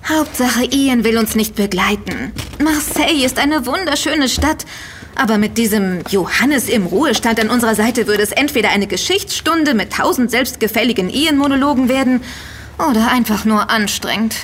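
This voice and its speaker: An actress playing a young, impatient, sardonic and quick-witted woman in an audio drama, teasing her friends dryly. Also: on the high side, lively intonation, a little hiss or hum, lightly acted. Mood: impatient, sarcastic. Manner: teasing, dry sarcasm. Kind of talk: German audio drama dialogue.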